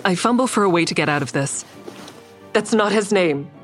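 An uneasy young woman played by an actress narrates in the first person in a medium-pitched voice. She sounds hesitant and confiding, with music under her audio drama narration. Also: animated, normal speed, natural voice. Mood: uneasy, defiant.

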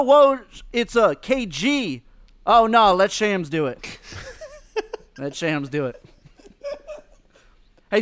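A man's voice: very high-pitched, fairly bright tone, no room sound, heavily acted. A man voicing a laid-back Southern male comic with a put-on Southern accent, roasting with teasing jokes. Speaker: comedy podcast co-host. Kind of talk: mock roast comedy bit.

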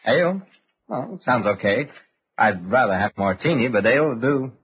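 An actor playing a skeptical young husband with an American accent in a radio drama, casual, slangy and dismissive. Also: male voice, mid-range voice, expressive, normal speed, husky. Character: breezy, skeptical American husband stranded at inn. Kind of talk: old-time radio drama acting. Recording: muffled old radio recording.

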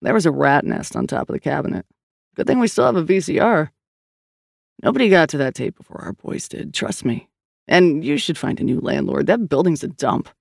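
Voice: mid-range voice, big pitch swings, fairly quick, vocal fry, no room sound, full character voice. An audiobook narrator playing a weary, skeptical male police partner, blunt and dryly sardonic. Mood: matter-of-fact. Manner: dry, sardonic, reluctant.

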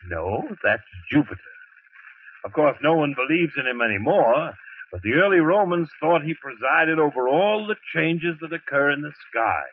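An actor playing a fussy, pompous weather bureau chief in a 1940s radio drama, flustered and lecturing. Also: male voice, fairly high pitch, animated, normal speed, slightly rough. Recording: tinny, hissy old radio broadcast.

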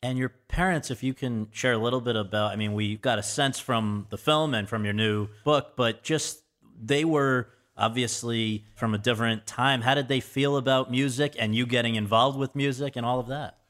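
A man's voice: mid-range voice, steady tone, a bit bright. Man reading a podcast intro. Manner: measured read with quotations, then a deferential, polite questioner. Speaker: podcast host. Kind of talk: scripted introduction then interview questions.

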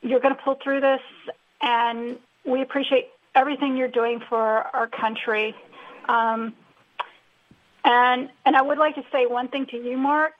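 A woman calling a radio show from her car, speaking in a warm, earnest way. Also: sits high, fairly even intonation, measured pace. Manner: warm, devoted, gently earnest. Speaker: caller. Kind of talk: radio call-in. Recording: muffled phone line.

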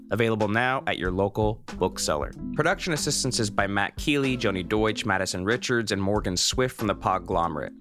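A man with a fairly low voice hosts a podcast in a conversational style. Faint music sits behind his voice. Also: fairly bright tone. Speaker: podcast host.

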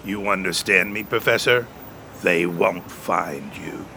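An actor playing a menacing, contemptuous police officer in an audio drama, curtly polite with a threat underneath. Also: male voice, deep voice, slow, creaky, bright, forceful tone, slight background noise, full character voice. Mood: threatening. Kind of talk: scripted audio drama acting.

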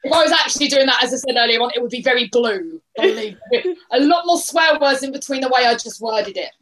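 A woman's voice: high-pitched, lively intonation, quick pace, fairly bright tone, rough recording. A woman, a guest on a football podcast, talking with fervent, emphatic passion about her team. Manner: passionate, emphatic supporter talk. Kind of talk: casual podcast chat.

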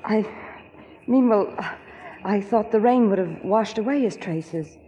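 A woman's voice: mid-range voice, unhurried, mellow, natural voice. An actress playing a guarded, unhappy young lady of a wealthy estate in 1940s radio drama, composed and evasive. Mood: defensive. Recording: faintly noisy 1940s radio recording.

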